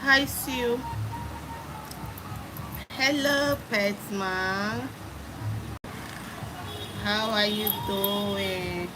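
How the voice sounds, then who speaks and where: A woman's voice: mid-range voice, very slow, pauses often, music in the background, poor audio. A young adult woman with a Nigerian accent, live streaming and chatting with viewers, greeting them by name and repeating her phrases. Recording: loud background noise.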